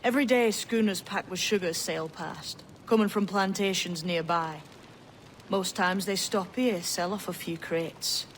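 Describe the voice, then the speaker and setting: A woman's voice: on the low side, unhurried, a little hiss or hum, natural voice. Sly, boyish young pirate played by a woman. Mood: shrewd, informative. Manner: teasing, knowing. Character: youthful, sly, teasing boyish pirate, lean and shrewd. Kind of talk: dramatic video game acting.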